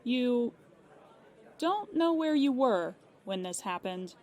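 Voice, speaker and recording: An actress playing a polite, unflappable insurance claims agent in an audio drama, precise and businesslike. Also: female voice, on the high side, very animated, slow, a little mellow. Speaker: claims agent on a phone call.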